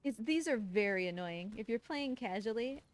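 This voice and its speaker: A woman's voice: on the high side, expressive, normal speed. A woman giving casual, chatty live commentary on a video game speedrun, explaining things as she plays. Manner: chatty, explanatory, talks fondly to game creature. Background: game music and game sounds.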